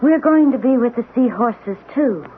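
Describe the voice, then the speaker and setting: Actress as dreamy, unhinged woman scientist, radio drama: innocent, matter-of-fact, high and sing-song, noisy old broadcast. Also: animated, moderate pace, narrow, tinny audio, natural voice. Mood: dreamy certainty. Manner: childlike, simple, earnest, matter-of-fact. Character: childlike, delusional woman chemist, gently dreamy and earnest.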